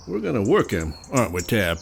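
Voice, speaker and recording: An actor playing a jovial, teasing old farmer grandfather with a gravelly voice in an audio drama. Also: on the low side, wildly swooping pitch, brisk, a little hiss or hum, exaggerated voice.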